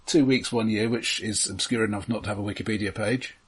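A man co-hosting a podcast, giving his film opinions in casual chat with a measured, understated manner. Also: fairly low pitch, fairly even intonation, breathy edge.

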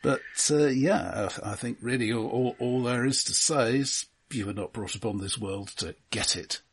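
A man co-hosting a film podcast, explaining his views in a measured, analytical way in casual discussion. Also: medium pitch, breathy edge.

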